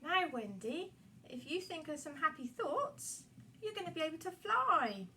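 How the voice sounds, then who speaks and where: Woman playing an excitable high-pitched adventurous boy. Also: measured pace, distant mic, slight background noise, heavily acted. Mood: excited, encouraging. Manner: excitable, whooping, encouraging. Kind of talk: children's puppet-show storytelling.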